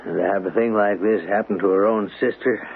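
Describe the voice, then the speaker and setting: An actor playing a troubled, fussy, grieving householder in a radio drama, speaking in a hesitant, faltering way. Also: male voice, lowish voice, fairly even intonation, fairly quick, lightly acted. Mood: uneasy. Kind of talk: 1970s radio drama acting. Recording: tinny, noisy old radio broadcast.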